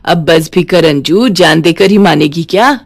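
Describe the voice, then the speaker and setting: A woman's voice: fairly low pitch, a little slow, gravelly. A woman as a playfully scolding big-sister neighbour. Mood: teasing delight. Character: affectionate, teasing elder-sister neighbour woman. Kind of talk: storybook character voice.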